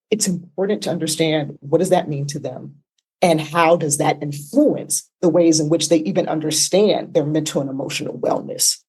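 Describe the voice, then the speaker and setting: A woman's voice: sits low, a little mellow, breathy edge. A woman therapist being interviewed, explaining points emphatically and often adding a tag question. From United States. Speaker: therapist guest.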